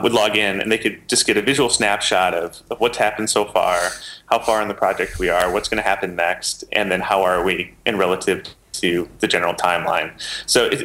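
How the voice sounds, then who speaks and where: A man's voice: sits low, bright, forceful tone, rough recording. A man being interviewed as a guest, explaining things conversationally and thinking aloud. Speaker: interview guest, software maker.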